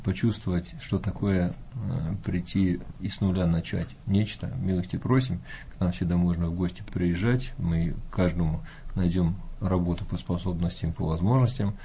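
A male Orthodox priest speaking in an unhurried, folksy way, with many fillers and hesitations. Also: sits low, vocal fry, mellow, slight background noise. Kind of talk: calm talk show conversation.

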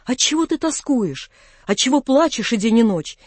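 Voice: mid-range voice, normal speed, dark tone, no room sound, natural voice. Anguished, tender old father, acted by a narrator. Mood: anguished pleading. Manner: emotional, imploring, fervent.

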